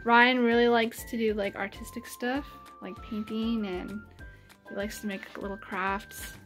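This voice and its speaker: A woman's voice: a little high, little pitch movement, unhurried, soft background music. A woman in her thirties from Canada, chatting casually while showing her home on a handheld phone camera. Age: 30s. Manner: chatty, easygoing, with many fillers like "like". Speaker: vlogger giving a house tour. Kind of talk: casual house-tour chat. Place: indoors in a home. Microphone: handheld phone camera at arm's length.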